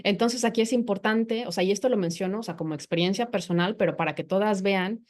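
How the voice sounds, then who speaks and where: A woman's voice: medium pitch, fast talker, darkish tone. A woman psychologist, a native Spanish speaker, talking informally and explaining things through personal storytelling on a podcast.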